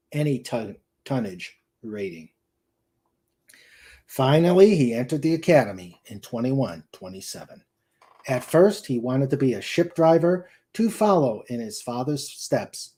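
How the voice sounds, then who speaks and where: A man's voice: mid-range voice, dark tone. A man giving a dramatic narration of a novel, with emphatic delivery and the odd stumble. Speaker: host and narrator.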